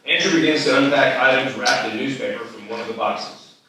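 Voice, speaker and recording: A man narrates screenplay directions at a live reading. His voice is bright and forceful at a middling pitch, picked up from a distance in a strongly reverberant hall. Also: brisk, a little hiss or hum. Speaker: stage directions reader. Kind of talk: scripted narration at live table read. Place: live festival stage reading. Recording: very echoey hall, distant mic.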